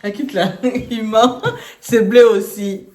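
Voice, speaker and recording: A woman's voice: mid-range voice, fairly even intonation, takes it slowly, dark tone. A woman from Congo chatting and quizzing her partner in a casual game, repeating herself and laughing often. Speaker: channel co-host. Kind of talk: casual quiz game between two people. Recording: echoey room with faint noise.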